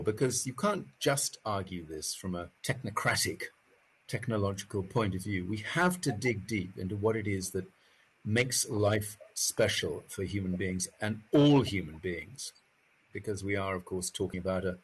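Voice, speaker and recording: Male panellist, lowish and husky, articulate, reflective, stressing key words. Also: normal speed, low-quality recording.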